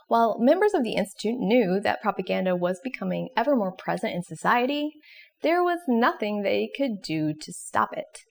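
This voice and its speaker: Creaky-voiced woman narrating an explainer. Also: mid-range voice. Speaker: presenter of an educational video series. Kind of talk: scripted educational narration.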